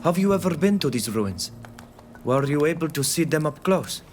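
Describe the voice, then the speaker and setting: A man's voice: on the high side, animated, moderate pace, darkish tone, natural voice. A man playing a resolute, curious young islander villager in a video game, speaking plainly and earnestly. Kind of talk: video game dialogue acting. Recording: music playing under the voice.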